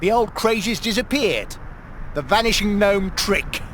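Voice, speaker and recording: An actor playing a greedy, menacing villain in a video game, mocking and taunting in a lecherous way. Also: male voice, high voice, lively intonation, a little slow, fairly bright tone, a little hiss or hum. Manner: taunting, mocking, with sneering pet names.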